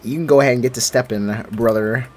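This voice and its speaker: A man's voice: mid-range voice, fairly even intonation, fast, darkish tone. A man doing casual, slangy, playful live reaction commentary as a gaming streamer. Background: game music.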